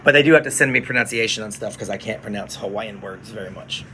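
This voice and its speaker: A man who works as a radio DJ, chatting casually on a phone livestream from his studio, relaxed and explaining things to viewers. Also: fairly low pitch, fast talker, a little hiss or hum.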